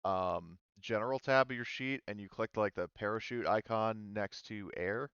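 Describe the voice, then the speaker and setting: A man's voice: fairly low pitch, moderate pace, a bit bright, clean tone, no echo. A man running a casual online tabletop role-playing game as game master, explaining in hesitant pieces. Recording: dull, slightly muffled audio.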